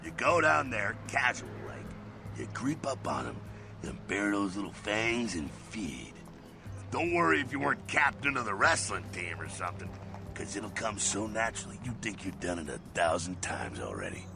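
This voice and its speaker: A brash street vampire swaggers through slangy advice in a rough, gravel-heavy voice. The pitch swings widely, giving this jovial game mentor a lot of bounce, and music plays clearly behind. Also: male voice, very animated, moderate pace. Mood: instructive, wry. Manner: slangy, folksy, swaggering, swears. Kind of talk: video game character acting.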